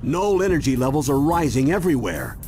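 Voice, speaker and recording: A man's voice: a little high, big pitch swings, a little fast, darkish tone, soft background music. A man with an American accent playing a firm, caring grandfather adventurer in a cartoon video game. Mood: concerned, urgent. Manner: firm, encouraging, matter-of-fact.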